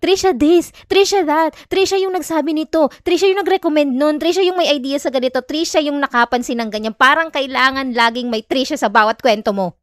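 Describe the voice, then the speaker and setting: A woman's voice: high-pitched, brisk, no echo, studio quality, lightly acted. A woman with a Filipino accent playing a jealous, hurt young girlfriend in an emotional, sarcastic audio roleplay. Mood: angry, exasperated. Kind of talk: Tagalog ASMR girlfriend roleplay, acted monologue.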